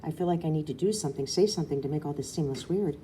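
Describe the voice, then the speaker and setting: A woman reading a children's novel aloud, an expressive narrator with dramatic emphasis. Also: low-pitched, racing, slight background noise. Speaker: audiobook reader. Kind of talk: expressive storybook narration, first person as a girl.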